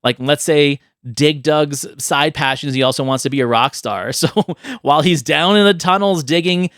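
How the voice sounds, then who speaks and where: A man's voice: a little high, normal speed, a bit bright, no room sound, clean studio sound. A man hosting a podcast, chatting casually and enthusiastically in a rambling way. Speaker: podcast host. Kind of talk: casual chat about movie ideas.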